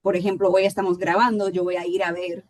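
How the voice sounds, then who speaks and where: A woman from Puerto Rico speaking on a panel, hesitant and halting, with frequent restarts. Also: fairly low pitch, flat delivery, darkish tone, no room sound. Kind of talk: panel conversation.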